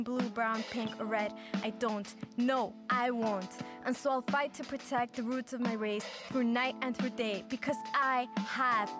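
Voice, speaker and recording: Woman reciting defiant poetry over music. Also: on the high side. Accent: Spanish-speaking. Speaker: spoken word poet. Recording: music behind voice.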